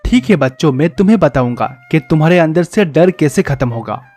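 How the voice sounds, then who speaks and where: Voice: medium pitch, lively intonation, a little fast, warm tone, close to an everyday voice. An actor plays a kindly divine teacher in disguise from a devotional storybook tale. He speaks patiently, advising and encouraging children, with faint music behind him. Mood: calm, reassuring.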